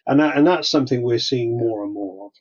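A man's voice: medium pitch, a little fast, darkish tone, breathy edge. A man with a Welsh accent, a guest on a podcast, talking casually with frequent restarts. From Wales. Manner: hesitant, many restarts. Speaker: guest consultant. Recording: slightly dull lo-fi sound.

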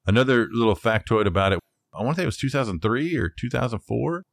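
A man's voice: mid-range voice, animated, fast talker, gravelly. A middle-aged man co-hosting a podcast, casually sharing facts in an informal, loosely structured way. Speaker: podcast co-host.

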